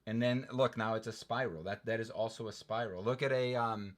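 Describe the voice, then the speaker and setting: A man hosting a casual livestream, his lowish voice getting animated and exasperated as he rambles through rants. He argues his points with a lot of restarts and emphasis. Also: brisk.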